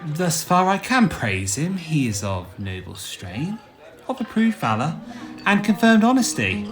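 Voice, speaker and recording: A man's voice: a little high, sing-song, measured pace, fairly bright tone, soft background music, exaggerated voice. A man acting a playful, matchmaking prince in a Shakespearean radio play, with a theatrical, declaiming manner. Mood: scheming delight. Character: playful matchmaking prince, scheming with mischievous confidence. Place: home recording.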